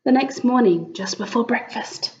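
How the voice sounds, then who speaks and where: A woman reading a picture book aloud as an expressive storyteller, with dramatic emphasis for young listeners. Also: fairly high pitch, moderate pace, muffled recording. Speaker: children's story reader.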